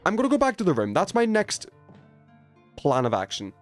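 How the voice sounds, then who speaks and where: A man doing casual, excitable gaming commentary, thinking aloud in slang as he chats with stream viewers. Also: high-pitched, fairly quick, soft background music. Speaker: video game livestreamer.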